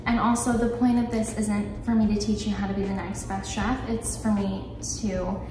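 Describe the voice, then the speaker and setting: A woman's voice: mid-range voice, little pitch movement, moderate pace, background noise. Chatty young woman in a roomy kitchen. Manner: chatty, self-deprecating, talks while cooking. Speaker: lifestyle vlogger. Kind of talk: casual baking vlog chat. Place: home kitchen, indoors. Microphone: camera on the counter a few feet away. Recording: roomy kitchen sound, mic at a distance. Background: background music.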